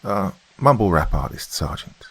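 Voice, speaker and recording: A man voicing a cheeky, excitable young lance corporal in an audiobook, playful and mock-offended. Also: low voice, normal speed, breathy edge, full character voice. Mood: sheepish, eager.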